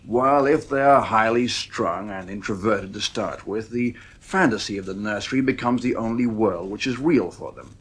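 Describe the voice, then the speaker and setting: An actor playing an affable psychiatrist in a 1950s radio drama, explaining things in a measured, professional way. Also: male voice, lowish voice, breathy edge. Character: affable, matter-of-fact male psychiatrist, family friend.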